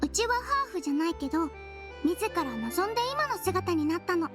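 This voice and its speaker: A woman voicing a gentle little fairy-like nurse in an anime game, speaking in a soft, composed, girlish way. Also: very high voice, sing-song, moderate pace. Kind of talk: anime game dialogue and fairy-tale storytelling. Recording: music behind the voice.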